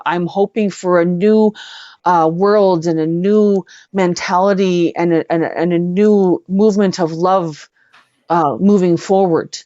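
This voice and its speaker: A woman with a Canadian accent and a fairly low voice speaks casually and earnestly as an interview guest. She halts and repeats words for emphasis. The sound is dry and somewhat dull, like lo-fi online audio. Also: warm tone, dry recording. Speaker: guest, singer-songwriter. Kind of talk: casual live conversation.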